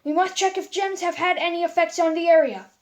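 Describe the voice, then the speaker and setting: A woman's voice: extremely high pitch, fairly even intonation, a little fast, pitched above the performer's own voice. A woman voicing a serious, commanding female gem alien leader with an emphatic manner in a story. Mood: resolute.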